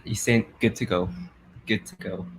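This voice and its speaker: A young man with a Glasgow-area Scottish accent, chatting excitedly and laughing as he comments on a live stream. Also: fairly low pitch, normal speed, slight background noise, poor audio. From Scotland.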